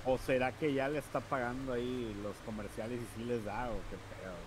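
A man's voice: medium pitch, brisk, a little hiss or hum, low-quality recording. Mexican man streaming a game, slangy. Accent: Mexican Spanish. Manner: relaxed, slangy, calls out moves. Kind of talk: casual gaming chat with friends.

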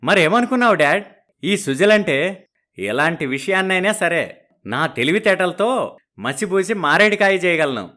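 Man playing a showy, animated young groom. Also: sits high, a little slow, long pauses, heavily acted. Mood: boastful. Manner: showy, speechifying, sprinkles English words. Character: smooth-talking young groom, boastful, posing as progressive. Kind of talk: dramatized moral story dialogue.

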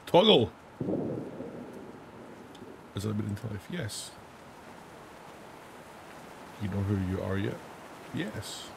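Guy, 30s, voice wrecked and raspy, gravelly and lowish, headset mic, noisy background, jokey lets play chat. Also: big pitch swings, measured pace. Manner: reads on-screen questions aloud and answers them, jokey. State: hoarse from days of singing. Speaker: gaming channel host. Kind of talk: casual let's-play commentary. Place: home room, indoors.

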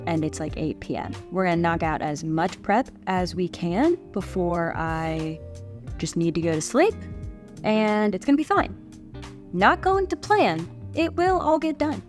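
A woman's voice: lowish voice, animated, a little slow, darkish tone, soft background music. A young adult woman chatting casually as a cooking vlogger, with a wry, self-deprecating manner. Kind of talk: casual chat while cooking. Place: home kitchen, indoors.

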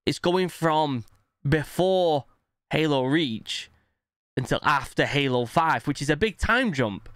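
A man's voice: on the high side, expressive, takes it slowly, a bit bright, smooth, no room sound. A young man hosting a reaction video, chatting informally and eagerly into a close desk microphone. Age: young adult. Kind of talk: casual chat and reactions. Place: indoor home studio. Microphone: close desk microphone on arm.

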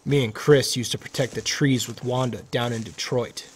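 A man's voice: medium pitch, fairly even intonation, lightly acted. A man playing a hazy, earnest stoner tree activist in an audio drama. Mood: earnest, sentimental. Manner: hazy, unhurried. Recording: faint outdoor nature noise. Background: birds, outdoor ambience.

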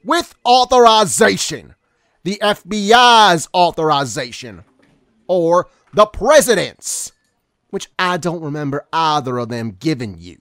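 Principled federal agent for a male actor: highish voice, very lively pitch, formal, skeptical, audio drama. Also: a little slow, no echo, studio quality, exaggerated voice. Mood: firmly objecting. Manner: formal, earnest, objecting.

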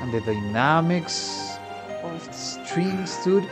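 A man's voice: a little high, expressive, takes it slowly. A man giving casual, exclamatory, profanity-laced reaction commentary. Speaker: musician reacting to game trailers. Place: small room at home. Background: game trailer music and voices.

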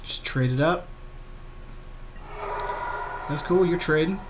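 Higher-voiced man bantering over a game. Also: slow pace, background noise. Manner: slangy, agreeable, joking. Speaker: podcast co-host playing an online card game. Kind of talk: casual game commentary, banter.

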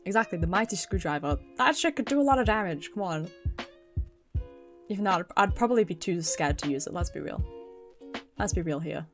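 A woman's voice: fairly low pitch, animated, a little fast, pauses often. A British woman streamer chatting casually and expressively with her viewers, swearing freely. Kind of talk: casual livestream chat. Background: background music.

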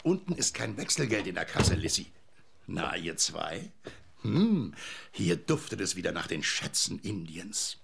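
Gravelly, kindly old grandpa. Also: male voice, deep voice, sing-song, measured pace, fairly bright tone, a little hiss or hum, exaggerated voice. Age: older. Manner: jovial, folksy. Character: kindly, helpful old grandpa-like bookshop helper. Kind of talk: children's audio drama acting.

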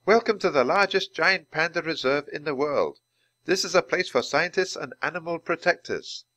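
A man voicing a poised young woman scientist who speaks in a formal, measured, instructive way. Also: a little high, lively intonation, sharp tone, no echo, voice pushed up.

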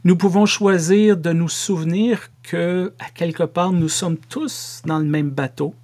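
A middle-aged man with a Québécois French accent giving a sermon to camera from a home office, slow and measured with playful asides. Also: sits high, steady tone, a little mellow. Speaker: pastor.

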